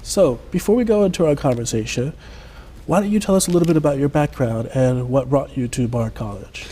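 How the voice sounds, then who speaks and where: A man's voice: medium pitch, fairly quick, dark tone, slight background noise. A man hosting a TV interview show, reading polished, formal introductions and asking questions. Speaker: host.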